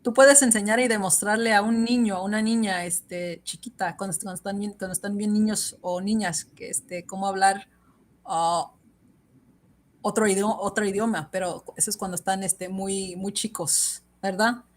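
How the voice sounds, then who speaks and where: A woman's voice: medium pitch, fairly even intonation, a little fast. A woman hosting a livestream, chatting casually, rambling and repeating herself, switching between English and Spanish, laughing often. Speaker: livestream host. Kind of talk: casual chat.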